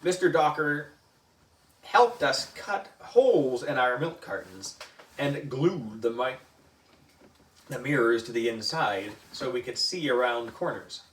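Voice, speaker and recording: A man reading a children's story aloud in an expressive, deliberate way, acting out the dialogue. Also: mid-range voice. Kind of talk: animated read-aloud narration. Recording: roomy echo, distant microphone.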